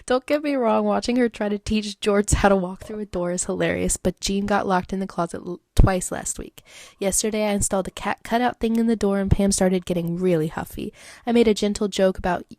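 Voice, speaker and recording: A woman hosts a solo podcast, reading advice posts aloud and rambling between them with plenty of fillers. Her voice sounds congested and stuffed up, with a gravelly, creaky texture and a dark, mellow tone. The sound is dry and close to the mic. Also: mid-range voice, a little fast, no echo. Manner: chatty and rambling, many likes and fillers. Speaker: podcast host.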